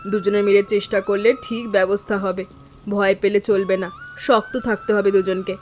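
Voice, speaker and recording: A woman playing a calm, steadfast elder sister-in-law in an animated village story, speaking in a composed, firm and reassuring way. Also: mid-range voice, fairly quick, soft background music, lightly acted. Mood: reassuring, resolute.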